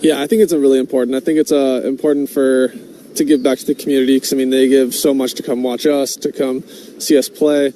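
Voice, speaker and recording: A young man in his twenties, a pro baseball pitcher, answering interview questions casually, with many fillers and restarts. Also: little pitch movement, dark tone, a little hiss or hum. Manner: halting, many "I mean" and "I think" fillers.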